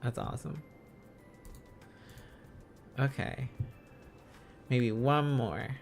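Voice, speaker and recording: A male livestream host talks at an unhurried pace in a middle-pitched voice, with light music underneath. He rambles casually and thinks aloud, often circling back over the same halting phrases. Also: vocal fry. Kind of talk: casual livestream chat while learning a language.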